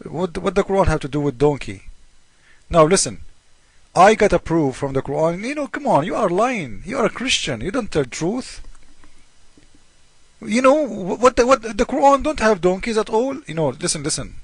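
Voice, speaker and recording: High-voiced man, mocking non-native English polemicist. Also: sing-song, normal speed, breathy edge, no room sound. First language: not English. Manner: mocking, repetitive, theatrical, acts out both sides of arguments. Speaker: host of a religious polemic video. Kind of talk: informal polemical commentary on scripture.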